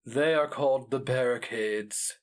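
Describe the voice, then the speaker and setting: A man voicing an ancient, mad, inhuman immortal in a dramatic fantasy reading, speaking gravely and portentously. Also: mid-range voice, a little slow, lightly acted. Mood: grave, ominous.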